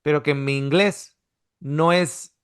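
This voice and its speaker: A man leads a Bible seminar, talking things through with plenty of restarts and "you know?" checks. His voice sits a little high for a man. The sound is dry, with hardly any room around it. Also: steady tone, takes it slowly, smooth, no room sound. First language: Spanish. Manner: explanatory, conversational, with many restarts and rhetorical questions. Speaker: Bible teacher. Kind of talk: seminar teaching. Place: Australia.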